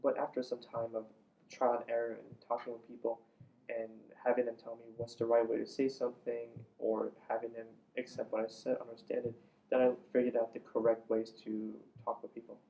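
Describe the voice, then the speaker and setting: A man's voice: mid-range voice, completely flat delivery, brisk, gravelly, dark tone, muffled recording. A young man from Iran, a native Farsi speaker, giving earnest, slightly formal explanatory answers as an interview guest. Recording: distant mic, faint background noise.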